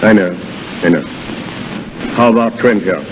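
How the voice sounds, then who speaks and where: A man playing a brisk, authoritative army colonel in a 1930s radio drama. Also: on the low side, lightly acted. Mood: brisk, commanding. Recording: muffled, noisy 1930s radio, long reverb.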